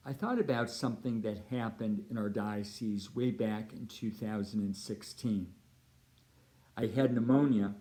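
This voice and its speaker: A middle-aged man with a Californian American accent, a priest giving a prepared appeal talk in measured, deliberate phrases. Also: on the low side, a little mellow. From United States (Chicago and Southern California).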